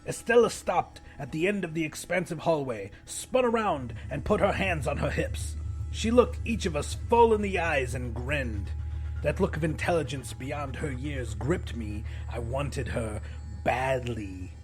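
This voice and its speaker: A man's voice: a little high, lively intonation, measured pace, soft background music, rough recording. A man narrating first-person fiction as a dramatic audiobook reader.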